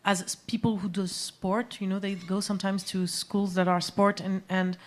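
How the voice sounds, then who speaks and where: A woman's voice: medium pitch, little pitch movement, normal speed. Woman, musician guest, hesitant, many fillers, live venue stage. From Algeria, raised in France. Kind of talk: live on-stage interview, casual chat.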